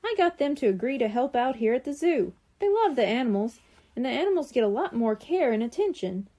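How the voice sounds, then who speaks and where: A woman's voice: on the high side, sing-song, fairly quick, dark tone. A woman voicing a genial, folksy elderly man who owns a rabbit in a children's storybook. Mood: cheerful.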